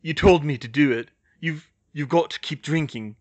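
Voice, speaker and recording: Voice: medium pitch, moderate pace, natural voice. A performer playing a reluctant, distressed teenage boy who stammers earnestly. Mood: guilty reassurance.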